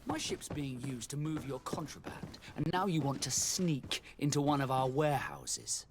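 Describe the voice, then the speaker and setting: A man voicing a wary, resentful ship's captain in a video game, with a husky voice. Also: medium pitch, big pitch swings, normal speed, noisy background. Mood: grudging.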